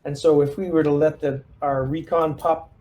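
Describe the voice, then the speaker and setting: A man's voice: fairly high pitch, level tone, normal speed, dark tone. A man playing a tabletop roleplaying game, thinking aloud as he casually lays out squad tactics and checks in with the others. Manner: collaborative, thinks aloud, hesitant phrasing. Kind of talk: casual in-character tactical planning.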